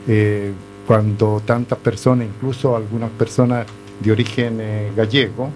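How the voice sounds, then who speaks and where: An older Chilean man talks as a guest on a panel, his low voice barely rising or falling. He picks his words slowly, filling pauses with little hums. Clear music plays underneath. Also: flat delivery, a little mellow. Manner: measured, hesitant with many fillers. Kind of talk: reflective conversation.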